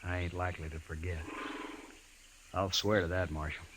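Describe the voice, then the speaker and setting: Male actor as blunt rural American frontier man, bitter. Low register, folksy, faintly noisy vintage radio sound. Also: little pitch movement, normal speed, lightly acted. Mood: puzzled, earnest. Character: bitter, plain-spoken rustic frontier man, grieving friend. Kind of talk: old-time radio western acting.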